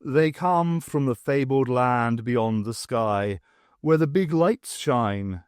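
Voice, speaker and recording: A man's voice: medium pitch, slow pace, clear, resonant tone, no room sound, studio quality, natural voice. A man voicing a blustering, sentimental old pantomime king with a growly, grandiose manner, in an audiobook. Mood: solemn.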